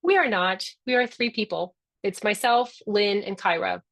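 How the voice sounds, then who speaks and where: A woman's voice: medium pitch, normal speed, fairly bright tone, no room sound. A middle-aged woman whose first language is Spanish talks members through a live orientation over a video call. She is chatty, upbeat and affectionate, in a mid-range voice. The sound is dry and close. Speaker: founder hosting a member orientation. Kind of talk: live online orientation talk.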